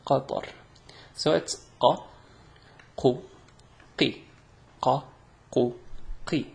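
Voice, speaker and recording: A young man with an Arabic accent teaches pronunciation in an unhurried, chatty way. His voice has a gravelly, creaky edge, and he lingers over each sound. He is a native Arabic speaker who pokes gentle fun at himself as he explains. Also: medium pitch, steady tone. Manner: slow, chatty, self-deprecating, drawn-out sounds. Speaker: language teacher. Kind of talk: casual online language lesson.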